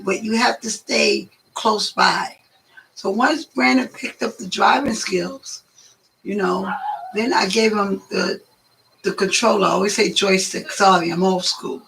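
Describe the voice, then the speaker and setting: A hoarse woman telling stories in a chatty, rambling way as a guest on her son's video. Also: medium pitch, a bit bright, a little hiss or hum, low-quality recording. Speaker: guest, host's mother. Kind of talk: casual storytelling chat.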